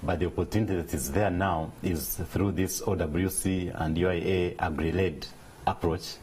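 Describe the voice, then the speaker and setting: A very low, mellow male voice, explaining things earnestly and with frequent restarts. A faint hiss of noise sits behind him. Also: fairly even intonation, moderate pace. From Uganda. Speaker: interview guest, business leader. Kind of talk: studio interview.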